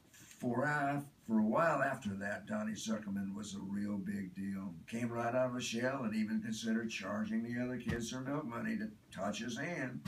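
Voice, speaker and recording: Man acting gravelly, chatty earnest do-gooder. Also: lowish voice. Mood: wry, confiding. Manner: conversational, confiding, emphatic. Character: earnest, chatty, self-absorbed do-gooder recounting childhood. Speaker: author reading own work. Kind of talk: dramatic first-person monologue reading. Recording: roomy, distant mic, faint noise.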